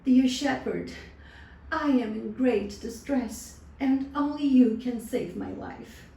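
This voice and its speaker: A woman's voice: fairly high pitch, slow, vocal fry, mellow, voice pushed up. A woman voicing a false, scheming lady who coaxes and pleads dramatically in a folktale. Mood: pleading. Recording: distant, roomy sound with faint noise.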